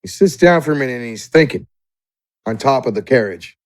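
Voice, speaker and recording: A man's voice: medium pitch, expressive, brisk, no echo, clean studio sound. A man working as game master, narrating a tabletop fantasy game in improvised, conversational descriptions. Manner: conversational, feeling his way through descriptions. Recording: music under the voice.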